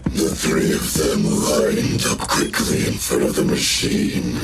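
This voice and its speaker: A man narrating a dark sci-fi audio drama in a brooding, deliberate and dramatic style. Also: a bass voice, heavily acted. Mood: ominous, deliberate. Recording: loud music behind the voice. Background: loud music.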